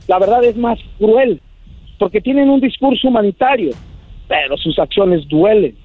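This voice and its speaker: Middle-aged Mexican male activist guest, impassioned, high pitch, dry phone-line audio. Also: lively intonation, moderate pace, dry recording. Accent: Mexican Spanish. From Michoacán, Mexico. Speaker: migrant shelter founder and activist, interview guest. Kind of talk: impassioned interview commentary. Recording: muffled tinny phone line, faint music.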